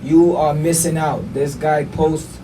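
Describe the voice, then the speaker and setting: A man's voice: a little high, level tone, slow, dark tone, far from the mic, slight background noise, poor audio. A man co-hosting a podcast, talking enthusiastically and hyping people up. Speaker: podcast co-host. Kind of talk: casual boxing podcast talk.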